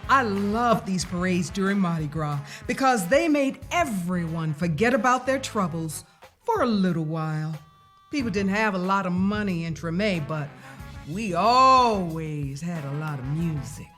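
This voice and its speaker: A woman reading a children's story aloud in the first person, with deliberate, expressive storybook delivery. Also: on the low side. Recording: music playing behind the voice. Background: music.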